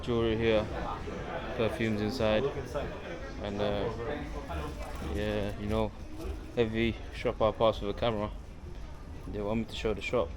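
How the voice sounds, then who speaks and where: A man's voice: on the low side, completely flat delivery, a little slow, pauses often. A man from London with a London accent, chatting casually and informally to his viewers as he films a travel vlog. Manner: friendly, informal, addressing viewers as guys. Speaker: travel vlogger. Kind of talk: casual walking vlog chat. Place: walking through an outdoor bazaar and its shops. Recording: busy street and bazaar crowd noise.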